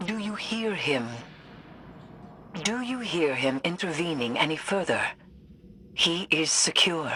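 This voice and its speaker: An actress playing a stern, solemn occult warrior-sage in a video game, speaking in a grave, theatrical, commanding way. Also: female voice, on the low side, lively intonation, slow, pauses often, bright, forceful tone, a little hiss or hum, heavily acted. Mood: grave, intense. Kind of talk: scripted video game acting. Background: game music.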